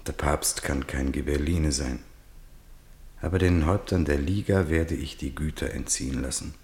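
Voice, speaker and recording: A man's voice: a bass voice, normal speed, a little mellow, roomy sound. A man voicing an aging, proud, solitary French general with lofty, declarative speech in a radio play. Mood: brooding, proud.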